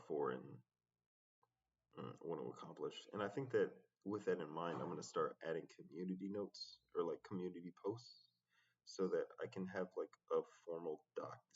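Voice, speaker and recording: A man talking in a casual, reflective solo vlog, halting and often pausing mid-sentence. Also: low-pitched, breathy edge. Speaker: rapper vlogging his progress. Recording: dull, muffled lo-fi sound.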